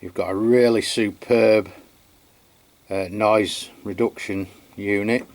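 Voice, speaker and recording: An Englishman giving an unscripted product review and demonstration, chatty and matter-of-fact, with a halting delivery. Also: lowish voice, fairly even intonation, darkish tone. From England. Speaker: radio reviewer.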